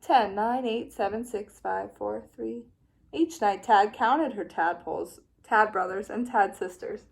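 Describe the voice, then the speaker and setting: A woman teacher reading a children's picture book aloud with expressive, playful storytelling. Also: mid-range voice, a little slow, creaky, rough recording.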